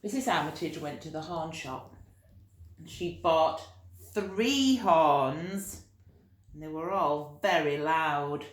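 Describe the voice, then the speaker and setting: A woman reading a picture book aloud to young children, expressive, with chatty asides and gentle explanations. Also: low-pitched, slow. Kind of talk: animated read-aloud storytelling with chatty asides. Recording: roomy, echoey room sound.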